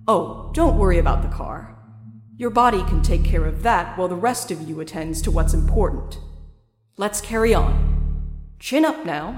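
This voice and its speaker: A woman playing a sinister self-help guide spirit in an audio drama, speaking in a measured, formal, patronizing way with false sympathy. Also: fairly low pitch. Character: sinister female self-help guide spirit, soothing, condescending, coldly predatory. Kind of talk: audio drama monologue, guided-meditation parody. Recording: echoey reverberant hall effect, music underneath. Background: music.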